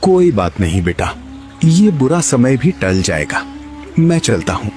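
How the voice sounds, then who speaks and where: A man playing a kindly, fatherly wandering holy man in a cartoon, gentle and consoling. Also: medium pitch, very animated, unhurried, soft background music. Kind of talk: Hindi moral-story cartoon dialogue.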